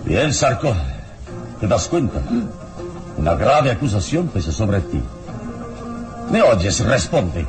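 An actor playing a calm, authoritative heroic adventurer in a radio serial, speaking deliberately. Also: male voice, on the low side, full character voice. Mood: accusing. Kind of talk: old radio serial acting. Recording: old radio serial with music. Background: dramatic music.

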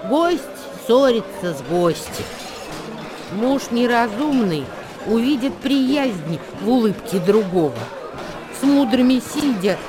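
Slow, expressive wise woman over music. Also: a little high. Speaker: actress reciting verse. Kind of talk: dramatic radio recitation of ancient verse.

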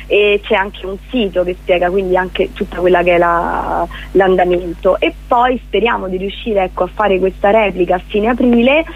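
Woman on a tinny phone line. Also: mid-range voice. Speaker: interview guest, prison theatre project leader. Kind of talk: radio phone interview, explanatory. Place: on a phone call to a radio show.

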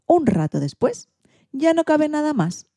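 A woman reading a children's storybook in an expressive, theatrical way. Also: high voice, a little slow, no room sound. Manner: theatrical, acts out sound effects and exclamations.

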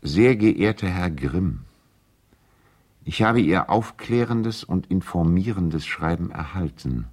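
An actor playing a courteous, idealistic male lawyer in a radio play, earnest and gently ironic, speaking in a formal, measured, eloquent way. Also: low-pitched, lively intonation, exaggerated voice. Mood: polite irony. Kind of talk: radio drama letter monologue.

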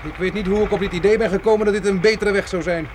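A man's voice: sits high, steady tone, racing, a little hiss or hum, close to an everyday voice. An actor playing a practical, decisive husband surviving with his family in a Dutch radio drama. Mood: tense.

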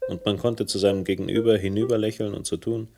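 A man's voice: lowish voice, little pitch movement, fast, natural voice. A man playing a world-weary, hard-drinking, self-mocking character who narrates and speaks in a noir-like radio drama. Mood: brooding.

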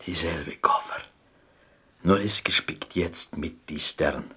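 An actor playing a proud, melancholy Greek man from Corfu in a radio drama, telling his tale in halting, broken German with a Greek accent. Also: low voice, sharp tone, a little hiss or hum, exaggerated voice. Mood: proud, wistful.

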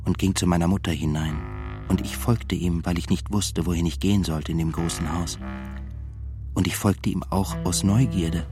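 Radio drama, male actor as brooding reminiscing son: deep voice, subdued delivery, music underneath. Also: close to an everyday voice. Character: pensive son recalling his childhood and severe father. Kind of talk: radio play monologue.

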